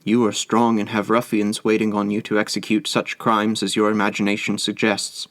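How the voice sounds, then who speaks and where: A man's voice: fairly low pitch, monotone, moderate pace, fairly bright tone, no echo, close to an everyday voice. Impassioned young mother in a storybook , voiced by a male reader: pleading and defiant, dry close sound.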